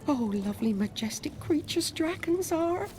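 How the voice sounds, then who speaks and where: Gushing, high-voiced genteel pumpkin gardener. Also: female voice, lively intonation, normal speed, heavy background noise. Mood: gushing, admiring. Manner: theatrical, gushing, quaint. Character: doting, excitable, genteel woman pumpkin gardener. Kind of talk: video game character acting. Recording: music behind the voice.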